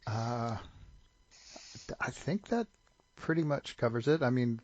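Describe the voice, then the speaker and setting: A man's voice: medium pitch, animated, unhurried, a little mellow, dry recording, muffled recording. Man hosting a casual podcast, dry sound. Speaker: podcast host. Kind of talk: casual chat.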